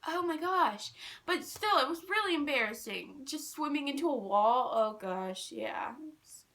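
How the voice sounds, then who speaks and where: A young woman telling personal stories in a casual, animated, rambling and self-deprecating way. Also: high-pitched, measured pace. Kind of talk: casual storytime chat.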